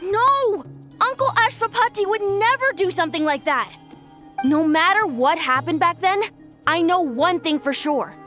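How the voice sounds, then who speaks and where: Earnest, very high-voiced child. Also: female voice, expressive, a little slow, sharp tone, soft background music, heavily acted. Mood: distressed, defiant. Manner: earnest, protesting. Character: loyal, upset orphan child defending guardian uncle. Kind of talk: video game voice acting.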